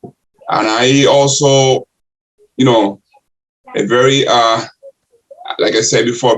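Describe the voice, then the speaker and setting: An older man from the Republic, answering interview questions in halting English full of restarts. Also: fairly low pitch, gravelly, low-quality recording. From Dominican Republic. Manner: halting, many restarts and uh fillers. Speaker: guest, city councilor and candidate.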